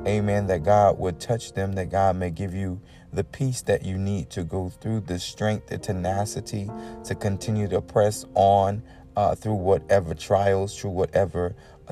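A man with a deep, dark-toned voice leads a devotional prayer as a preacher. He is earnest and leans on repeated amens and invocations, with faint music playing behind him. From the Bahamas. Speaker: preacher leading a morning prayer. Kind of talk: devotional talk and extemporaneous prayer.

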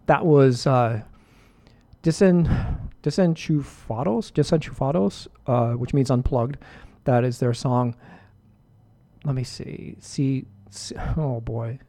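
A middle-aged man hosting a music radio show, chatting casually between songs in a relaxed, rambling way with many fillers and restarts. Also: mid-range voice, slow pace.

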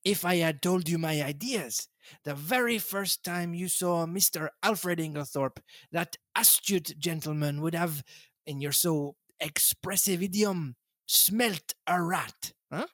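A man's voice: on the high side, unhurried, fairly bright tone, no room sound, clean studio sound, voice pushed up. A man voicing a fussy elderly male detective with a put-on French accent, coaxing and ending his points with a questioning hmm. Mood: explaining.

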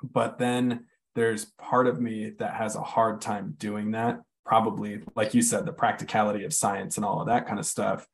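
A man's voice: fairly low pitch, level tone, moderate pace, vocal fry, hardly any pauses. A man in a casual podcast chat, talking reflectively and searching for his words. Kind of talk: casual conversation.

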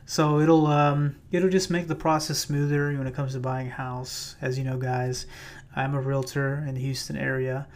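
A man hosting his own podcast in a loose, chatty way with many fillers, his voice a little raspy. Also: mid-range voice, little pitch movement, normal speed. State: slightly raspy voice. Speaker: podcast host and realtor. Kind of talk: casual solo podcast talk. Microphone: plugged-in microphone.

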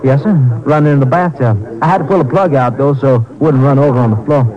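An actor playing a helpful, folksy young hotel desk clerk in a muffled 1950s radio drama. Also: male voice, medium pitch, quick pace, crowd noise, lightly acted. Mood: eager, deferential. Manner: folksy, deferential, hesitant. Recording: muffled vintage radio recording.

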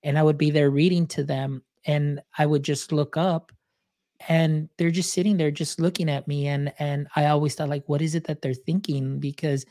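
A man's voice: a little high, flat delivery, normal speed, dark tone, dry recording, clean studio sound. A man hosting a podcast, a native Spanish speaker, talking in a reflective, storytelling way with many fillers. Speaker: podcast host. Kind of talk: casual interview chat.

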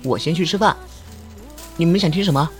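A man playing a cocky young amateur voice actor in an online radio drama, with a theatrical, expressive delivery. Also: fairly high pitch, fairly quick, soft background music, natural voice. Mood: nonchalant, playful.

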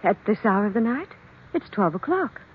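An actress playing a suspicious, sharp-tongued wife in an old-time radio mystery, with brisk, insistent questioning. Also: female voice, mid-range voice, big pitch swings, slight background noise, lightly acted. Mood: impatient, accusing. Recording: dull, lo-fi old radio broadcast.

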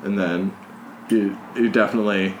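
A man's voice: on the low side, fairly even intonation, normal speed. A young man with a light Boston accent hosting a podcast, rambling and hedging as he chats. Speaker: podcast host. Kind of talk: casual podcast chat. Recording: echoey room with faint noise.

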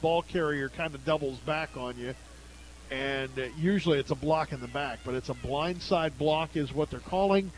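A man's voice: a little high, normal speed. An American man doing live radio play-by-play commentary of a football game. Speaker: play-by-play announcer. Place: at a high school football game.

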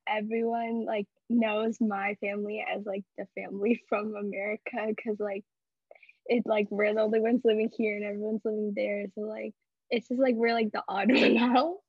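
A soft-spoken teenage girl chatting on a podcast. Her voice is breathy, a touch high and flat. She is recorded dry and muffled, lo-fi. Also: no echo. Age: teens. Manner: soft-spoken, filler-heavy. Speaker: podcast co-host. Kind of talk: casual chat.

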